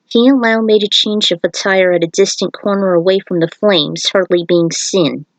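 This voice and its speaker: A woman's voice: medium pitch, steady tone, moderate pace, no room sound, rough recording. A woman narrating a story in a casual read-aloud style, breaking off for informal, offhand asides. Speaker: fan fiction reader and author.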